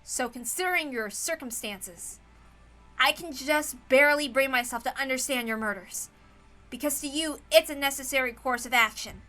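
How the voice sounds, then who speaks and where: A woman's voice: high-pitched, moderate pace, pushed and bright, faint music behind, poor audio. A woman playing an indignant, stubborn young man who argues righteously in a visual novel read-aloud. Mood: stern reproach. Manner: emphatic, righteous, argumentative.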